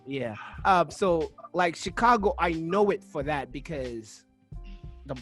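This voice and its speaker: Man from Uganda, podcast host, slightly higher voice, searching for words, many restarts, faint music behind. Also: animated, slow pace, fairly bright tone. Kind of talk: casual interview chat.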